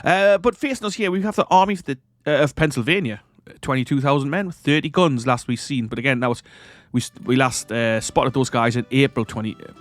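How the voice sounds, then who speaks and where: A man's voice: mid-range voice, a little fast, no echo. A man with a stuffy nose from a cold, giving casual commentary over a strategy game. He rambles, corrects himself and chuckles often. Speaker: strategy game let's-play host.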